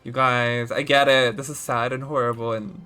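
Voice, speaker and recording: A male streamer giving casual, excitable reaction commentary on a game story. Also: medium pitch, moderate pace, faint music behind. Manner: excitable, chatty.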